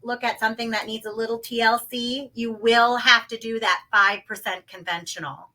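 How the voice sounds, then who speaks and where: A woman talks through home financing as a podcast guest. Her voice is high and very bright. She explains in halting steps, with plenty of ums and you-knows. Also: steady tone. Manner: hesitant, full of fillers, explaining step by step. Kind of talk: casual podcast interview.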